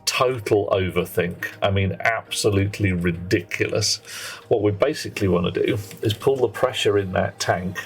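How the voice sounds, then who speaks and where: A man's voice: lowish voice, moderate pace, gravelly. A man streaming a game live, chatting with viewers in a rambling way and often correcting himself mid-sentence. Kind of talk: casual live gameplay commentary. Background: faint music and a child playing nearby.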